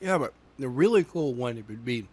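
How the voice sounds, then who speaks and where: A man's voice: medium pitch, expressive, brisk, a little mellow, husky. A man co-hosting a podcast in casual banter, with a playful, teasing manner and short punchy remarks. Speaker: podcast co-host.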